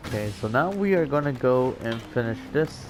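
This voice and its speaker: A man's voice: medium pitch, lively intonation, moderate pace. A man giving casual game commentary, explaining things in a rambling, hesitant way. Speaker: let's player. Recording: game music behind the voice. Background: video game music and sounds.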